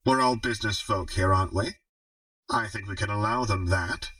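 A man voicing a shrewd, commanding female crime baron in an audiobook, speaking in formal, measured speeches. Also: fairly low pitch, fairly bright tone, no echo, recorded from a distance, low-quality recording. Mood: calm, businesslike.

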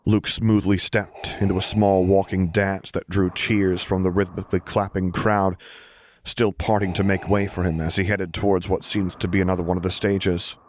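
A man's voice: sits low, little pitch movement, normal speed, a little mellow, slight background noise. A man narrating a fiction audiobook from a script.

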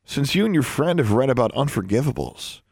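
Man playing a taunting teenage bully wizard, raised pitch. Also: big pitch swings, brisk, gravelly, a little mellow, breathy edge, dry recording, clean studio sound, full character voice. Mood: scornful. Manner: taunting, scornful.

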